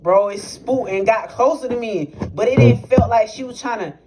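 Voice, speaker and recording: A man's voice: sits high, normal speed, over loud music. Rambling young man telling a wild story. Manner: rambling, animated, profane, many fillers. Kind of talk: casual storytelling testimony. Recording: noisy recording with clicks.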